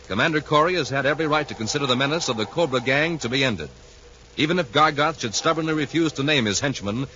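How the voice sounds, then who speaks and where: A man delivers scripted narration and ad reads as a 1950s radio announcer. His voice is forceful and very animated, with punchy dramatic emphasis. The recording is dull with faint noise. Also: mid-range voice. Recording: muffled, slightly noisy 1950s radio recording.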